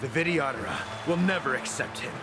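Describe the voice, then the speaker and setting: A man's voice: a little high, animated, fairly quick, natural voice. An actor playing a hostile, zealous male elder in a game cutscene, speaking with cold contempt. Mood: contemptuous. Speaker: game character. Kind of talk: video game cutscene dialogue. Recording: game audio with very loud music.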